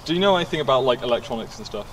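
A man's voice: mid-range voice, animated, rapid-fire, fairly bright tone, reverberant. A young man presenting a hobby video in a casual, explanatory style to camera. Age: young adult. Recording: clear background noise, some outdoor ambience.

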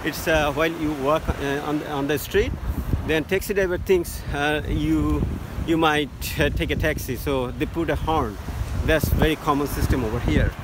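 A man giving halting travel tips from a beach, with many fillers and restarts. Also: a little high, fairly even intonation. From Bangladesh. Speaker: travel vlogger. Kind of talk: casual travel tips to camera. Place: outdoors on a sunny beach by the sea. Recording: loud wind noise on phone mic.

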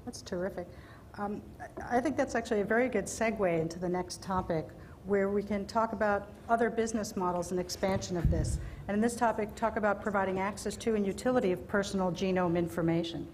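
A woman moderates from a podium at a live event. Her pitch is average and she puts questions formally to the panel. There is faint noise behind her. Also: brisk, darkish tone, low-quality recording. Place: indoor podium in a function room.

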